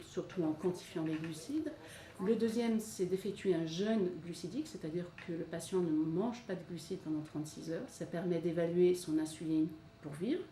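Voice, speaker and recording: A woman's voice: fairly low pitch, dark tone. A woman giving an explanatory presentation of a health programme as its presenter. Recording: roomy, distant mic, faint noise.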